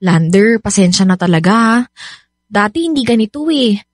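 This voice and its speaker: A woman's voice: medium pitch, lively intonation, moderate pace, no echo, studio quality, natural voice. A woman playing an apologetic, considerate young woman looking after her friends in a radio drama. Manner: apologetic, attentive.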